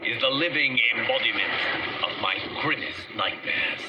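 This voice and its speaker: An actor playing a solemn, weary godlike guardian of the timelines, narrating game cutscenes in a grave, formal, measured way. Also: male voice, mid-range voice, very noisy, lightly acted. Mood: grave, foreboding. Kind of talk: scripted dramatic cutscene narration. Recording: loud music over the voice. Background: loud game music.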